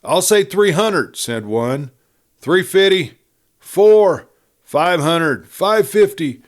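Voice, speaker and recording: A man puts on a rough cattleman in a western story. He calls out eagerly and emphatically, with his voice raised high and swinging widely. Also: expressive, takes it slowly. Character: rough, eager cattlemen bidding loudly in a crowd. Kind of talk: audiobook character reading of a western.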